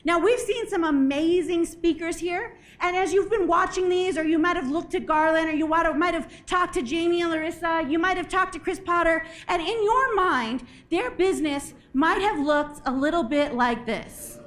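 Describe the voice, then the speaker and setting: A woman with a strikingly high voice speaks from a conference stage, a little hoarse and clearing her throat now and then. She delivers an animated, jokey keynote, checking in with the crowd with quick "right?" asides. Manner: animated, jokey, asks the audience questions. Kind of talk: motivational keynote talk.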